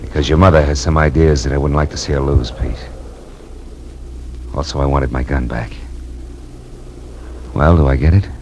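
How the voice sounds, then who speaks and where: An actor playing a tough, steady private detective in 1940s radio style, clipped and commanding, narrating and talking in character. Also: male voice, very deep voice, normal speed, long pauses, lo-fi sound, noisy background. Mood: wry relief. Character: tough, steady male private detective, hardboiled but decent. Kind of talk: 1940s radio acting with first-person narration. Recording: old radio drama recording with music.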